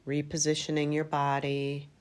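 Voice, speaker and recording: A woman leading a guided meditation in a calm, gentle manner, in unhurried phrases with long pauses. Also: sits low. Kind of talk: guided yoga nidra meditation.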